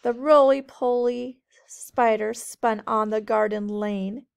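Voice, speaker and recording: A woman teacher reading a storybook aloud to children in a measured, sing-song way. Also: on the high side, fairly even intonation, vocal fry, clear, resonant tone. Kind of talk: storybook read-aloud narration.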